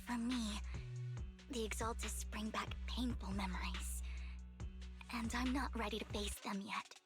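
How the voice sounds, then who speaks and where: Woman voicing formal dramatic young game girl, earnest, kinda high voice, music clearly behind. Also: steady tone, measured pace, natural voice. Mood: apologetic, pained. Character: Vivian, polite earnest young woman with a painful past. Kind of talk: anime-style video game voice acting.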